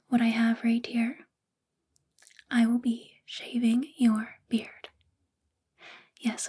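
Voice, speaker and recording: Woman acting a controlling female boss, ASMR roleplay, high breathy whisper, very even intonation, coaxing, intimate, possessive. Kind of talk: soft-spoken ASMR roleplay, often whispering.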